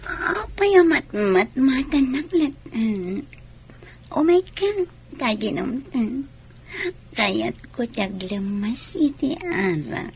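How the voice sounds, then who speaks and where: High-voiced scheming seductive woman. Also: animated, slow, full character voice. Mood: seductive, taunting. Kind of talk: Filipino radio drama acting. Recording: radio drama with audible background noise.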